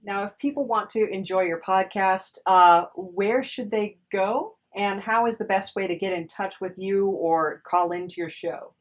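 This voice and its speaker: A woman's voice: mid-range voice, unhurried, hardly any pauses. A woman speaking casually and conversationally as the host of a podcast.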